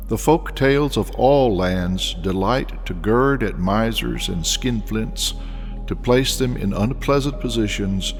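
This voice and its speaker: A man reading scripted fairy tales as an audiobook narrator, in a measured storytelling delivery. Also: fairly low pitch. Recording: long reverb, music behind the voice. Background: background music.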